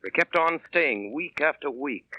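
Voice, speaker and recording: An actor playing a man who gravely recalls his boyhood as narrator of a 1930s radio drama, measured and dramatic. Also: a little high, expressive, dry recording. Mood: grave, haunted. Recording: muffled 1930s radio recording with music.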